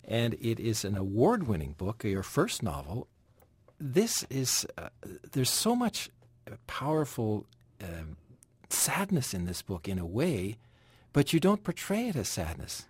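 A man hosting an interview, asking measured questions with frequent restarts. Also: lowish voice, wildly swooping pitch, husky, no room sound. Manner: measured, hesitant, many restarts. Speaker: radio interviewer, host.

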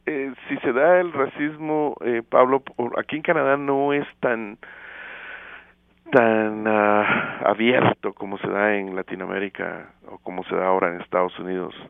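A middle-aged man from Guatemala, speaking thoughtfully as an interview guest with many fillers and pauses, heard over a phone line. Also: fairly low pitch, steady tone, vocal fry, no room sound. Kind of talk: casual interview. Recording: tinny phone line, radio broadcast.

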